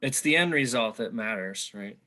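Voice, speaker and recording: A man with a bright, forward voice hosts an interview over a video call. He talks at length, stacking fillers and restarts as he works toward his questions. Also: mid-range voice, animated, normal speed. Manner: talkative, rambling, filler-heavy. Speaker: interviewer, host. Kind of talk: conversational interview.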